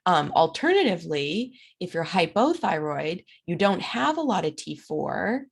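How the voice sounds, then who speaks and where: A middle-aged woman teaches from her slides in an easy, conversational way, pausing on plenty of fillers. Her voice sits on the low side and is raspy and gravelly, dry from a recent illness. It sounds like a webcam recording made at home. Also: big pitch swings. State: recovering from illness. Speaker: instructor. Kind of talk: recorded lecture over slides.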